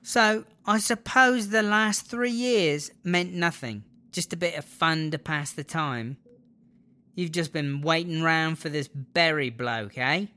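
A woman's voice: sits low, takes it slowly, sharp tone, exaggerated voice. A woman with a British accent playing a jealous, bitter, foul-mouthed girlfriend in a comedy audio drama, sarcastic and exasperated. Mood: bitterly sarcastic.

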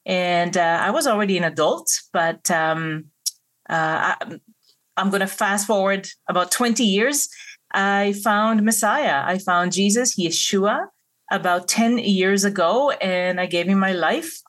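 A woman's voice: medium pitch, a little slow, a bit bright. A middle-aged woman from Israel, a guest on a podcast interview who speaks earnestly, with frequent hesitant fillers.

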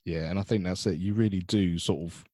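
A man hosting a podcast, asking relaxed, chatty questions. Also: low-pitched, no echo, studio quality. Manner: slow dramatic intro reading, then relaxed chatty questions. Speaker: podcast host. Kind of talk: scripted intro then casual interview.

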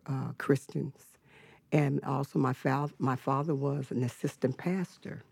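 An older woman from California with a low, gravelly voice, recorded dry and close with no room sound. She speaks as a guest, telling her life story slowly and reflectively, pausing often. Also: darkish tone, no room sound. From California, United States. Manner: slow, reflective, with frequent pauses and fillers. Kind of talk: personal storytelling in an interview.

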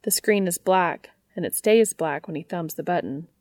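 A woman's voice: on the low side, moderate pace, darkish tone, no room sound, studio quality. A woman narrating a piece of written fiction in the style of an audiobook.

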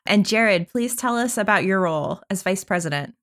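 A woman's voice: medium pitch, expressive, moderate pace, a bit bright. A woman co-hosting a podcast, welcoming guests and asking questions in an upbeat, curious way. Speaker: podcast co-host.

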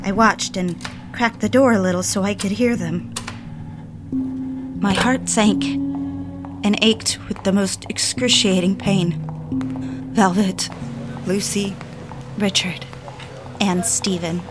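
A woman's voice: medium pitch, steady tone, slow pace, pauses often, lightly acted. A woman playing a lovelorn, self-doubting woman in an audio drama, earnest and confessional in her delivery. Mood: anguished. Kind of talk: dramatic first-person narration in audio drama. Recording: music under the voice. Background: music.

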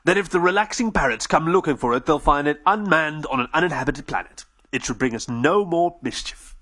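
A man voicing a proud, self-assured male werewolf gentleman who lectures smugly. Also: on the high side, animated, a little fast, full character voice.

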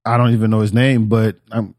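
A man's voice: lowish voice, little pitch movement, fairly quick, a little mellow, no echo, clean studio sound. A man hosting a podcast in casual chat, opinionated and slangy, swearing freely. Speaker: podcast host.

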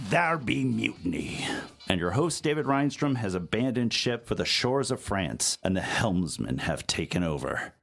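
A man's voice: deep voice, vocal fry, a bit bright. A man hosting a podcast with a theatrical scripted introduction and playful pirate flourishes. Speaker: podcast host.

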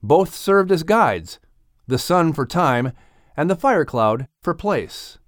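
Animated male storybook narrator. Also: a little high, takes it slowly, dry recording, studio quality. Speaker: audiobook narrator.

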